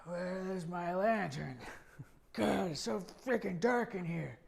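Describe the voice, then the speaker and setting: A man's voice: sits high, animated, slow, creaky. Playful man hosting improv. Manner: playful, repeats lines for laughs. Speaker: improv performer and show host. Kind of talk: improv comedy scenes.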